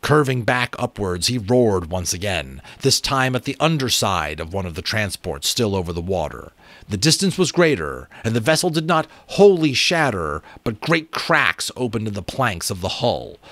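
A man in his thirties or forties giving an expressive, emphatic dramatic reading of an adventure novel. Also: lowish voice, no room sound. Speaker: audiobook reader and channel host. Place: small room at home with bookshelves. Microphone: close desk microphone.

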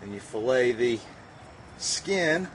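A man's voice: medium pitch, expressive, slow, fairly bright tone, poor audio. A man in his forties, easygoing and folksy, talking through a casual how-to vlog on an outdoor deck to a camera a few feet away. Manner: easygoing, folksy, plain-spoken. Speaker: fishing vlogger. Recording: faint outdoor noise.

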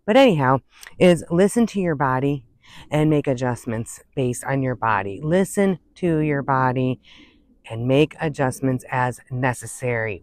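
A woman's voice: low voice, no room sound, clean studio sound. A woman in her fifties chatting casually to the camera as a hiking vlogger, outdoors on a trail, with a conversational manner that wanders into asides. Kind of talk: casual chat to camera, giving tips. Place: outdoors on an open woodland and prairie trail. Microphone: phone or camera at arm's length.

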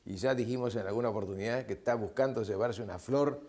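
A man's voice: on the low side, fast talker, darkish tone. An older man with an Argentine Spanish accent hosting a TV matchmaking show, coaxing and playful, with short repeated questions. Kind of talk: studio interview and address to camera. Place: TV studio set. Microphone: lapel.

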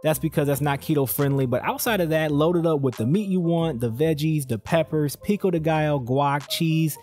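A man reads a scripted countdown as voice-over for his own fitness channel. He sounds upbeat and direct and keeps speaking straight to the viewer. His voice sits a little high, and soft music plays under it. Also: moderate pace. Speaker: fitness and keto channel host. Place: voice-over over slides, not recorded on screen.

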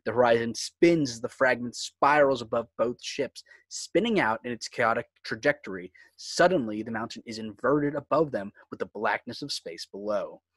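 Male narrator for sci-fi script read: mid-pitched, scripted stage directions, close dry sound. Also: moderate pace, hardly any pauses, fairly bright tone, no room sound.

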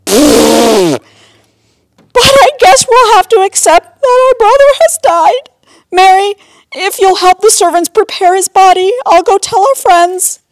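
A woman's voice: sits high, very animated, measured pace, few pauses, dry recording, pitched above the performer's own voice, low-quality recording. A woman voicing an earnest, practical, emotional sister in a storybook Bible tale. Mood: tearful.

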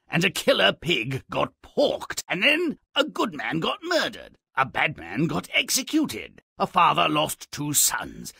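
Video game role: morbid dwarf storyteller, wildly swooping delivery, gravelly and mocking, relishing every gruesome word. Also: male voice, medium pitch, bright, forceful tone, dry recording, clean studio sound, heavily acted. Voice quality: raspy, gravelly. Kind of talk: theatrical scripted video game narration.

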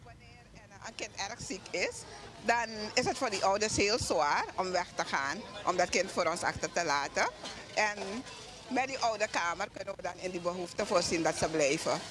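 A woman's voice: lowish voice, normal speed. A middle-aged woman from Suriname, a nurse, answering earnestly into a handheld mic in a busy restaurant. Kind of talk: on-site interview. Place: busy fast-food restaurant, indoors. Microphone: handheld reporter mic. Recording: loud crowd behind.

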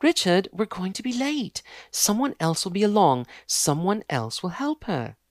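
A man's voice: high voice, very animated, measured pace, no echo, studio quality, voice pushed up. A man reading an audiobook, voicing an impatient, snobbish young woman who scolds and gives orders. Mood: bossy. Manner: bossy and scolding.

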